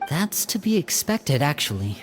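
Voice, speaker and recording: An actor playing a composed, loyal young man in a video game, speaking in a measured, explanatory manner. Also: on the high side, very animated, faint music behind. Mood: serious, measured.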